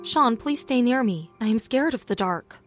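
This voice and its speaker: A woman's voice: a little high, lively intonation, normal speed, natural voice. A woman voicing a frightened young woman who pleads anxiously. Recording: faint music behind.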